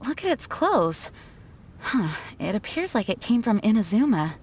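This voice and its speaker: A woman's voice: fairly high pitch, very animated, darkish tone, a little hiss or hum. Composed, serious woman knight leader. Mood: analytical. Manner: measured, analytical, formal. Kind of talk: video game voice acting. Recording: music behind the voice.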